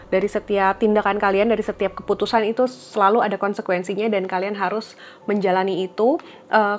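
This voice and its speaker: A woman talks viewers through a tarot reading in a soft, unhurried voice. Her voice sits at an average height and sounds slightly blocked and nasal from a cold. She often pauses with fillers as she thinks aloud. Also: medium pitch, a little hiss or hum. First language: Indonesian. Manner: gentle, reflective, with frequent fillers. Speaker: tarot reader.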